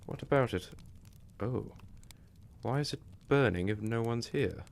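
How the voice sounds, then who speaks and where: A man playing a flustered, scatterbrained gentleman in an audio drama, with a hesitant, fussy, wry manner. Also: medium pitch, unhurried, gravelly. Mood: uneasy.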